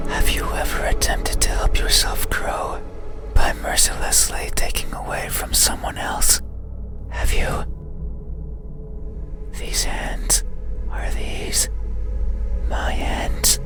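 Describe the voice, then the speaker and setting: Man as whispering, lonely creeping monster. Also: lightly acted. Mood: menacing questioning. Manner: slow, hushed, brooding, deliberate whispering. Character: lonely, curious, creeping creature stalking a sleeper. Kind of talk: whispered ASMR horror game monologue.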